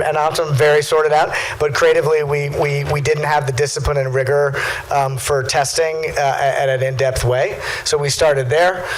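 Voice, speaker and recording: Higher-pitched male executive on a noisy panel. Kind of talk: casual business panel talk. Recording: live event with clear background noise.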